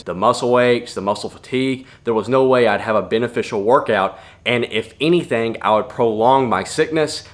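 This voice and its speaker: Fast-talking man with a low voice. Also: fairly bright tone. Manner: conversational, earnest, plainspoken. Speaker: fitness vlogger. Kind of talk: casual advice talk to camera. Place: private home gym.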